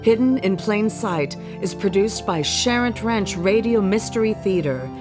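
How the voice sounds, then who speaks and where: A woman's voice: medium pitch, lively intonation, a little slow, music in the background. A woman reading scripted narration for a radio drama.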